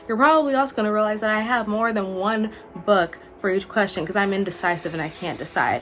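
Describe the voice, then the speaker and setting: A woman's voice: medium pitch, fairly bright tone. Chatty young woman vlogging casually. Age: young adult. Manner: chatty, rambling, informal. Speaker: book vlogger. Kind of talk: casual chat to viewers. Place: small furnished room indoors.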